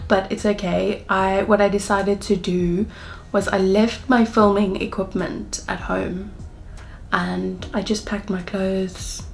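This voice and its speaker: A woman in her twenties with a South African accent, talking candidly and chattily to camera in a personal travel vlog. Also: mid-range voice. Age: 20s. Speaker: travel vlogger.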